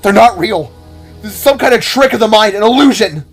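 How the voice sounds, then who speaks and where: A man's voice: high voice, expressive, brisk, breathy edge, faint music behind, pitched above the performer's own voice, exaggerated voice. A man voicing an anguished young robotic alien brother in an audio drama, stammering in disbelief. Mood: panicked anguish.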